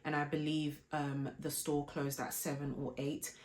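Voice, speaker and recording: A woman, hoarse from a flu, chatting politely as a vlogger telling a shopping story before an unboxing. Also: sits low, completely flat delivery, moderate pace, a little mellow. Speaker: fashion and lifestyle vlogger. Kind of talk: casual unboxing vlog chat.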